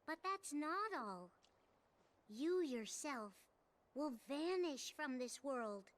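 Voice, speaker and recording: A woman voicing a small, cute cat-like spirit guide in a video game, earnest, gentle and cautioning. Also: sits high, expressive, slow pace. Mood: grave warning. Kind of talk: video game cutscene voice acting.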